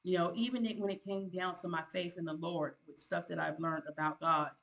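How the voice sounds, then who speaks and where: A woman's voice: on the low side, fairly even intonation, fairly quick, gravelly, a bit bright, poor audio. A woman, a content creator giving earnest motivational advice in a preachy, emphatic way, often repeating herself and restarting. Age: 50s.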